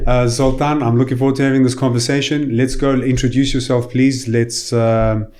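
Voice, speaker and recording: A man hosting a podcast in a studio, chatting informally in a halting, circling way. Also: mid-range voice, level tone, darkish tone. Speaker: podcast host. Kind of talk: informal interview chat.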